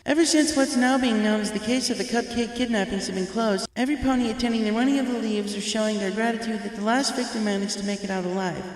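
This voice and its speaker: Storybook baby dragon, young male, played by a man, pitched well up, eager enthusiastic sports-announcer delivery. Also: fast, few pauses, very echoey, long reverb tail, low-quality recording. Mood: upbeat announcing.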